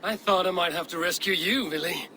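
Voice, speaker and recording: An actor playing a steady Viking clan leader with a dry, wry and firm manner. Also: male voice, sits high, fairly quick. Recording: game cutscene with ambient noise.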